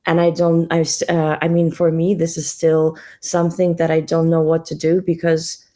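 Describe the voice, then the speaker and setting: A woman's voice: sits low, completely flat delivery, moderate pace, mellow. A young adult woman from Russia, a guest answering interview questions hesitantly, with many fillers and restarts. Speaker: guest, NGO co-founder.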